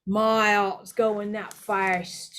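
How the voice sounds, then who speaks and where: A woman voicing an excitable, gossipy young male bus conductor who chatters loudly and dramatically at a slow, deliberate pace. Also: mid-range voice.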